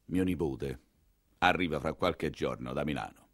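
A man's voice: a bass voice, normal speed, no room sound, studio quality, pitched below the performer's own voice. A man playing a pompous, drunken old cardinal, grumbling and scheming in a stage monologue. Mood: conspiratorial. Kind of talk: theatrical monologue dialogue.

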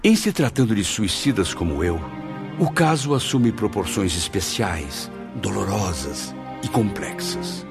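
A man's voice: lowish voice, big pitch swings, measured pace, creaky, over music, exaggerated voice. An actor playing a tormented, despairing male spirit in a radio drama, dramatic and full of anguish. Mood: sorrowful, grave. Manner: dramatic, anguished, erupting into cries. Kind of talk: radio drama acting with solemn narration.

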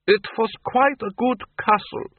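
Man putting on a haughty, high-pitched vampire lady. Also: unhurried, breathy edge, no echo, narrow, tinny audio, exaggerated voice. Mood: defensive. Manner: haughty, correcting. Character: haughty, proud female vampire wife.

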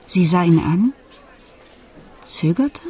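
Mellow, measured woman storyteller. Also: on the low side, lively intonation, breathy edge, heavily acted. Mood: matter-of-fact. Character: grown daughter retelling her family's past. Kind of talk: scripted literary radio play narration.

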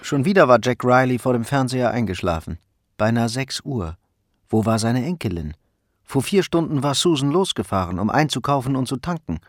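A man's voice: medium pitch, sing-song, a little fast, no room sound. A man narrating a crime story from a script, as in a radio drama. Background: music.

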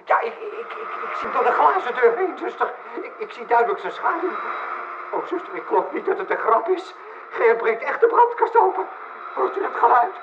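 An actor playing a nervous, fretful notary in a comic radio play, stammering and repeating himself excitably. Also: male voice, very high voice, fairly quick, full character voice. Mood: alarmed excitement. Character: nervous, fretful male notary, easily alarmed. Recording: muffled, hissy old radio recording.

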